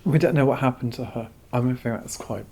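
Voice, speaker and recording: A man's voice: mid-range voice, quick pace, dark tone, slightly rough. A man speaking as a curator in an interview, giving long, discursive explanations. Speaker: exhibition curator, interview guest.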